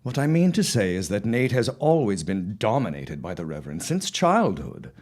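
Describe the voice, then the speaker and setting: A man acting a confident, dismissive farmer husband of the early 1900s in an audio drama, with formal, deliberate phrasing. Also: lowish voice, very animated, a little mellow. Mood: wry, reflective.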